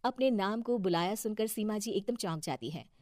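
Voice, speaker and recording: A woman narrates a scripted moral story as its storyteller. Her pitch is average for a woman. The recording is close and dry, with no room sound. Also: fairly quick, darkish tone, dry recording.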